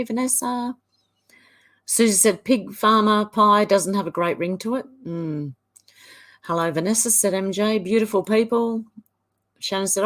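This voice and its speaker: Lower-voiced woman reading chat. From Australia. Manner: chatty, reads comments in a flat run with small fillers. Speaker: livestream host. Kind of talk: casual live-stream chat, reading viewer comments aloud.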